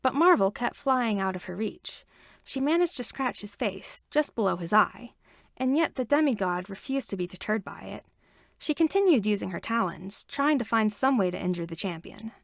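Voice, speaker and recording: A woman reading a fan fiction chapter aloud with expressive storytelling and shifting emphasis. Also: medium pitch, normal speed, vocal fry, no room sound, clean studio sound. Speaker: podfic reader.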